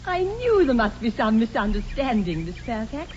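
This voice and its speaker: An actress playing a prim, sweetly combative young British ingénue, precise and emphatic, in 1940s radio comedy style. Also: female voice, on the high side, very animated, moderate pace, darkish tone. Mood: pointed. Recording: muffled, noisy 1940s radio broadcast.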